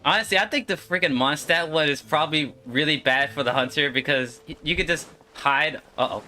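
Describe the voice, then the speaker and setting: A man doing casual live gameplay commentary, streaming in an excitable, slangy way with swearing and exclamations. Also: on the high side, a little fast. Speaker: game streamer.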